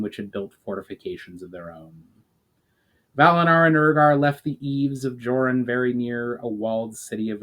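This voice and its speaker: A man narrating a fantasy novel as its author, in a dramatic audiobook style, occasionally stumbling and rereading a phrase. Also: fairly low pitch. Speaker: author reading own novel.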